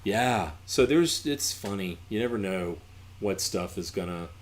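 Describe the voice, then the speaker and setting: Low-voiced Southern man telling stories. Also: lively intonation, measured pace, a little hiss or hum. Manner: rambling, loose, with frequent ums and asides. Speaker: podcast guest. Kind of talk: casual conversational storytelling.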